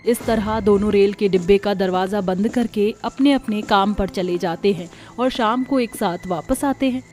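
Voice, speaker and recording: A woman's voice: mid-range voice, moderate pace, a little mellow, faint music behind. A woman narrating a scripted story as the storyteller.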